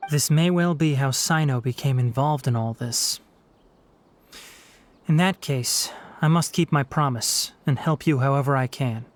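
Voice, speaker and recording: A man's voice: mid-range voice, a little slow, natural voice. An actor playing an earnest, thoughtful young male forest ranger in a video game, articulate and sincere. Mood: earnest, concerned. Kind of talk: scripted video game voice acting. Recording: music behind the voice. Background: faint music.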